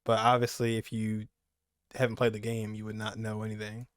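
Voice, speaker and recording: A man's voice: fairly low pitch, completely flat delivery, a little fast, clear, resonant tone, no echo, clean studio sound. A young man giving casual, rambling reaction commentary as a gaming streamer, repeating phrases for emphasis.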